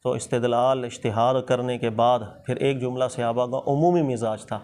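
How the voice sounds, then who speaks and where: A man's voice: mid-range voice, fairly even intonation, normal speed, darkish tone. A man with a Pakistani accent, a religious teacher explaining points emphatically in informal discussion, often repeating himself for stress. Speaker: Islamic scholar and teacher.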